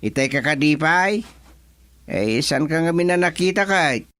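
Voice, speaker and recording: A man's voice: a little high, lively intonation, measured pace, a bit bright, no echo. A man acting an elderly, folksy, easily flustered village chairman in an Ilocano radio comedy drama, muttering and humming with an Ilocano Filipino accent. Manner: muttering to himself with hums and exclamations.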